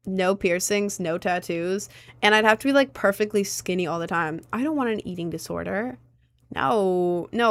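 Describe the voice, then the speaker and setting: A woman's voice: mid-range voice, no room sound. Chatty young woman rambling on a livestream. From United States. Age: young adult. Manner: rambling, chatty, lots of "like" and "um" fillers. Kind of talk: casual livestream chat answering viewers.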